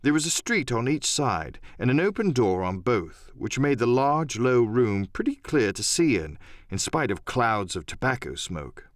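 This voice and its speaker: A man's voice: mid-range voice, sing-song, unhurried, dry recording, clean studio sound. A man narrating an adventure audiobook in a dramatic first-person storytelling style.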